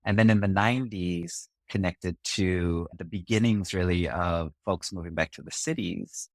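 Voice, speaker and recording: A man's voice: low voice, moderate pace, no room sound. A man from Singapore, a community organizer, telling his story in an interview in a thoughtful way, often checking in with "right?". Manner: thoughtful, with frequent "right?" asides. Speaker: racial justice organizer.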